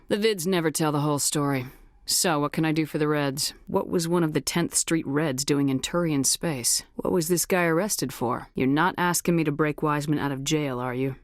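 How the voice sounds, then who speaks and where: A woman's voice: low voice, no echo, studio quality, lightly acted. An actress playing a tough, commanding female military officer in a science fiction video game, speaking in a firm, clipped, no-nonsense way. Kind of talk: video game dialogue lines.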